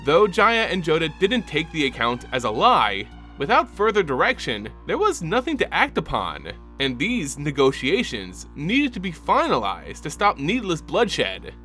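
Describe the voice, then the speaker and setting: A man narrating a fantasy game's story as a dramatic lore reading, in a lively storytelling manner. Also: on the high side, unhurried, few pauses. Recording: music bed underneath.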